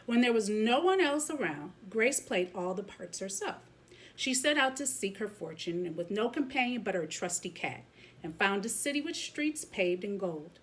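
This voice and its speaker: A woman reading a children's picture book aloud as a teacher would, expressively, pausing to comment to young listeners. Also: mid-range voice, slightly rough, low-quality recording.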